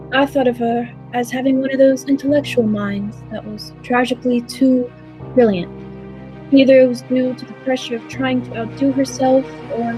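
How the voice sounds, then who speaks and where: A woman's voice: sits high, close to an everyday voice, rough recording. A woman playing a reflective storyteller, narrating a 1940s style radio play. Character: reflective storyteller recalling a mad professor acquaintance. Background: music.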